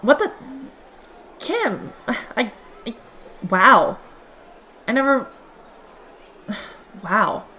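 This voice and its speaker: An actress playing a gentle, hesitant young female superhero in an audio drama. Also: fairly high pitch, sing-song, extremely slow, long pauses, a little hiss or hum, exaggerated voice. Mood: stunned.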